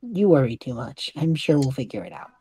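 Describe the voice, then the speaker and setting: A woman's voice: rumbling low, big pitch swings, brisk, mellow, slightly rough, dry recording, full character voice. A woman voicing an easygoing, unworried young male time traveler in a conversational audio drama. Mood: breezy, reassuring. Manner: breezy, reassuring, unworried.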